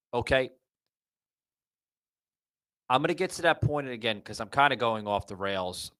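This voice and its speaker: Forty-something guy ranting on his podcast, slow and rambly, dry close sound. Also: medium pitch, fairly bright tone, no echo. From Florida. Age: 40s. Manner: rambling, repeats himself, addresses listeners as folks. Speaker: podcast host. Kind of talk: casual rant monologue.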